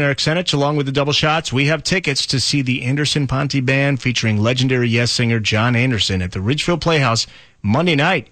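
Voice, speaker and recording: A man's voice: medium pitch, a bit bright, dry recording. American guy doing upbeat rock radio dj announcing, punchy and polished, really dry studio sound.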